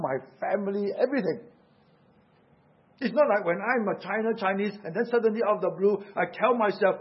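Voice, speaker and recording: A man's voice: high-pitched, breathy edge, highs cut off. A man from Singapore with a Singaporean English accent, preaching a sermon earnestly and urgently, asking rhetorical questions.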